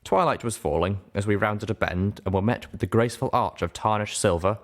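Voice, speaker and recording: Casting: male audiobook narrator, low pitch, measured dramatic storytelling. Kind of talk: scripted first-person story narration.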